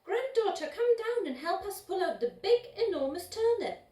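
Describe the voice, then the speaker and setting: Lady playing storybook granny calling out, high and singsongy, echoey room. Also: sing-song, normal speed, dark tone. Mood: calling, coaxing. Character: old woman in folk tale, calling out helpfully. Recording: roomy echo, distant microphone.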